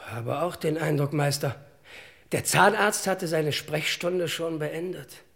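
A man's voice: a little high, slow, vocal fry, a bit bright, exaggerated voice. An actor playing a wry, chatty detective's sidekick in an old radio crime play, deferring to his boss in dryly ironic, stilted phrases. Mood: dry, puzzled.

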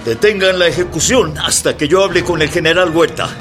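A man's voice: a little high, animated, brisk, music in the background, natural voice. A man playing an urgent, principled lieutenant colonel in a radio drama.

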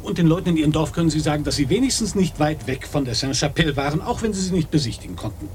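A man's voice: on the high side, lively intonation, very fast, darkish tone, a little hiss or hum, lightly acted. An actor playing an officious, politely insistent policeman in a German radio play. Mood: brisk, insistent.

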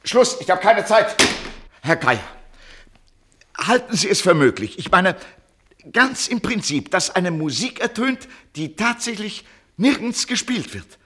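An actor in a German radio play playing a frantic, bewildered station director who blusters and stammers. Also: male voice, sits high, very animated, a little slow, pushed and bright, heavily acted. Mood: agitated, bewildered.

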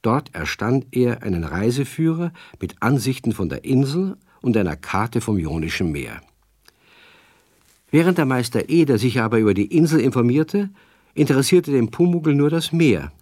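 A man narrating a children's radio play as a measured, expressive storyteller reading a script. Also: mid-range voice, dry recording, studio quality.

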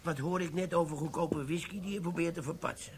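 Gravelly low-voiced sergeant, cheeky wheeler-dealer, played by a man in a radio drama with pub chatter behind. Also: steady tone, fast, lightly acted. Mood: bantering. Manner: cheeky, flirtatious, conspiratorial. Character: sly scheming middle-aged army sergeant. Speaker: army sergeant Derry. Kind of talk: radio play acting.

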